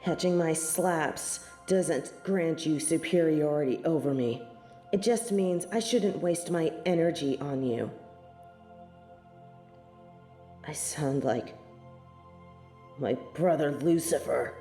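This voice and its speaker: A woman's voice: deep voice, measured pace, mellow, echoey room, soft background music. A woman playing a snobby, scornful angel in a scripted audio roleplay, speaking in formal, archaic phrases with lofty disdain. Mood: haughty disdain. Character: snobby, haughty female angel of judgement, proud, scornful tsundere.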